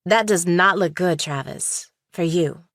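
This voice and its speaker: A woman's voice: fairly low pitch, a little slow, fairly bright tone, no room sound, clean studio sound. A woman voicing a skeptical young law student in an audiobook, clipped, probing and lawyerly. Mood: probing, accusing. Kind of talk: audiobook character dialogue.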